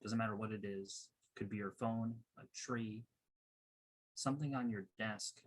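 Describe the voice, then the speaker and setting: A man leading a guided meditation as a coach, giving measured, instructive directions in a husky voice. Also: fairly low pitch, fairly even intonation, slight background noise, rough recording.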